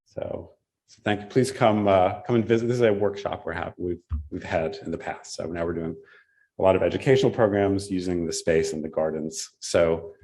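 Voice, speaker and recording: A man presenting an academic paper at a symposium, then answering a question with pauses and restarts. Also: sits low, warm tone. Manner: measured, with frequent fillers and restarts in answers.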